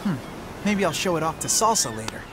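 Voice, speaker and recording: A man's voice: fairly high pitch, sing-song, fairly quick, background noise. A man voicing an easygoing, wisecracking young man in a video game, laid-back and teasing. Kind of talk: video game cutscene voice acting.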